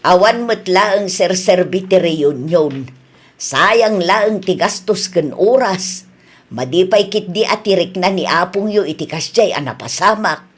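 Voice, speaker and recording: A woman playing an earnest, expressive family mother in a radio drama, with an Ilocano accent. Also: on the low side, measured pace. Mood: disappointed.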